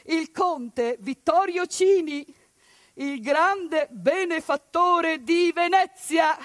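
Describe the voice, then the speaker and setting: Impassioned high-voiced woman in a monologue. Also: no room sound. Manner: impassioned, with sudden outbursts and hushed asides. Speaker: stage actress. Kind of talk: dramatic theatrical monologue.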